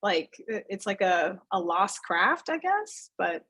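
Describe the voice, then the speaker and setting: A woman's voice: mid-range voice, very animated, slow pace, a bit bright, breathy edge. A woman in her thirties, a fitness studio owner, chatting casually as an interview guest and acting out voices when she quotes people. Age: 30s.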